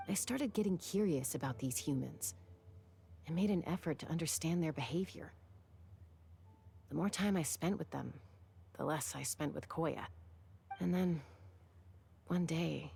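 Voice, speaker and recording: An actress playing a composed, wistful young woman in a video game, speaking in a measured, reflective way. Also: low voice, creaky, dark tone. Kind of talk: video game dialogue acting.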